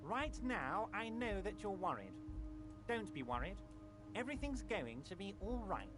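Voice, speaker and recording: A woman's voice: mid-range voice, big pitch swings, normal speed, lightly acted. Woman voicing a smarmy large union boss man. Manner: oily, reassuring, theatrical. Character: smug, talkative large male union boss. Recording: music playing behind.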